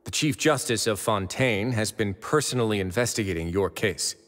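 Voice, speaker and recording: A man's voice: on the low side, normal speed, a bit bright, slight background noise, close to an everyday voice. A man voicing a suave, authoritative prison overseer in a video game, polite yet commanding and coolly persuasive. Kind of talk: scripted video game dialogue. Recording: long hall reverb, music behind.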